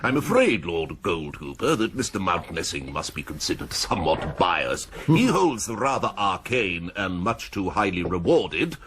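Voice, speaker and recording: A man's voice: sits low, big pitch swings, moderate pace, hardly any pauses, fairly bright tone, slight background noise. A man playing a dry, disgruntled college librarian in a radio drama, needling in a sardonic, formal voice.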